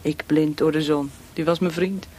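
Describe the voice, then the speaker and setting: An actress in a radio drama playing a cold, mocking torturer for a military regime, speaking with deliberate menace. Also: female voice, low voice, steady tone, mellow, slightly rough. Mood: taunting, menacing.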